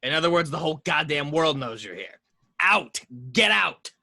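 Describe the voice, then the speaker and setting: Man acting a gruff, forceful, exasperated detective. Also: on the high side, big pitch swings, normal speed, heavily acted. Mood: angry. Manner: curt, irritated. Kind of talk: amateur table read of a film script.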